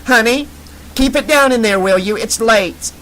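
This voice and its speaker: A man voicing a fretful middle-aged mother with a high-pitched, breathy voice. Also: normal speed, a bit bright, slight background noise, lightly acted, low-quality recording. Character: fretful suburban mother calling through a door.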